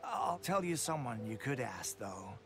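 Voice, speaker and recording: A man voicing a sleepy, laid-back loner soldier in a video game, speaking in a drowsy, halting way. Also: medium pitch, big pitch swings. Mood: lazy, unbothered. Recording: loud game music behind.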